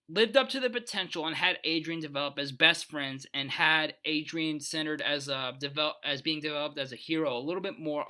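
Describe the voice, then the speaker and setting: Man, fairly high pitch, very sharp bright tone, casual video host, rambling, emphatic, opinionated, unscripted commentary.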